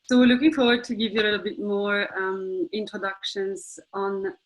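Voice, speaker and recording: A woman's voice: mid-range voice, little pitch movement, moderate pace, rough recording. A woman from France with a French accent, presenting an organization on a webinar with lots of fillers and enthusiasm. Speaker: executive director. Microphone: video call.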